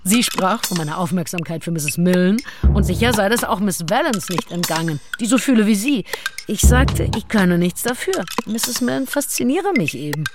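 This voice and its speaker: An actress playing a confident, seductive gentlewoman of the 19th century who confides her diary in an intimate radio drama. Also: medium pitch, lively intonation, moderate pace, lightly acted. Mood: self-assured, seductive. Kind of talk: radio drama acting, first-person diary narration. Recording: studio voice over prominent music. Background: music.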